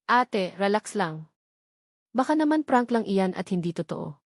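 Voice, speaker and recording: Woman acting gentle, soothing Filipina younger sister. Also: medium pitch, sing-song, measured pace, no echo, studio quality. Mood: consoling. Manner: gentle, reassuring. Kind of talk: dramatic audiobook character dialogue.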